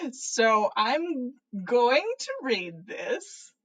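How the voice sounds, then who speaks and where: A woman with a fairly high voice and lively, swinging intonation. She sounds like an informal podcast host, moving easily between story reading and casual chat. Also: slightly rough.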